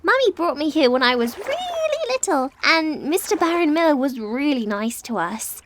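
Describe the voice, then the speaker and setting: A woman's voice: high voice, big pitch swings, moderate pace, background noise. A woman voicing an innocent, playful young unicorn filly, chatty and earnest, in a dramatic story reading. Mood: matter-of-fact. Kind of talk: dramatic reading of fan fiction.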